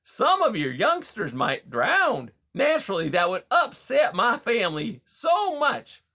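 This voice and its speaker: A huge male beaver from a storybook, pitched high and swooping widely. He is a pushy, smooth-talking bully, brash and folksy, and he bulldozes through every conversation. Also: huge pitch swings, a little slow, bright, forceful tone, heavily acted. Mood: falsely polite menace. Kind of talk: audiobook character dialogue.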